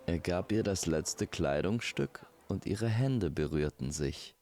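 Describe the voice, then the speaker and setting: A man's voice: sits low, expressive, slow, dark tone, faint music behind. A man narrating a scripted fairy-tale audio drama as its storyteller.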